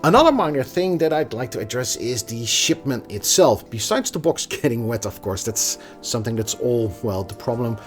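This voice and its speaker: A man's voice: medium pitch, lively intonation, moderate pace, few pauses, soft background music. A man giving a casual, opinionated tech review in a conversational manner. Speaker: product reviewer.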